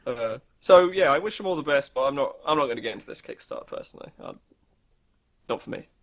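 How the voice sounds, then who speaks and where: A man with an average-pitched voice chats as co-host of a gaming podcast. He is casual and offhand, with a dry, sceptical way of putting things. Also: expressive, quick pace, a bit bright. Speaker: co-host of a weekly gaming news show.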